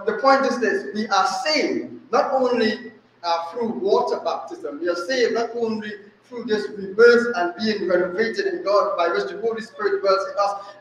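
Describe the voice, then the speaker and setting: A man's voice: high-pitched, a little slow, a bit bright, a little hiss or hum. A middle-aged man with a Trinidadian accent preaching a sermon at a lectern stand mic, emphatic, with rhetorical questions and repetition. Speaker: resident preacher. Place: small church room, indoors. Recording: very echoey room.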